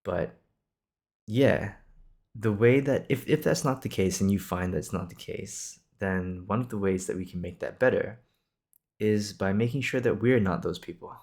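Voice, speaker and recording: A man's voice: lowish voice, normal speed, a little mellow. A man in his twenties from Singapore hosting an improvised podcast, talking casually in a reassuring, encouraging, conversational way. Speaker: podcast host.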